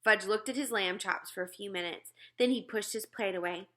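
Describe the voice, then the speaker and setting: Bright-voiced woman reading a children's book. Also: mid-range voice, brisk. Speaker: read-aloud narrator. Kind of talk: expressive reading of a children's novel.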